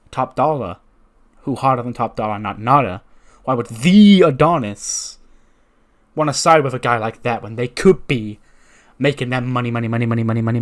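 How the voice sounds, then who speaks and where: A man voicing a cocky champion wrestler, delivering a slow, emphatic, taunting promo. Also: medium pitch, lightly acted.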